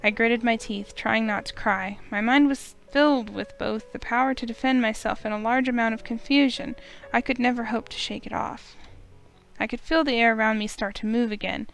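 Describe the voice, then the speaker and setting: Expressive woman reading a visual novel. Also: a little high, gravelly. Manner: expressive narration with wry asides. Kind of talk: dramatic reading of game text.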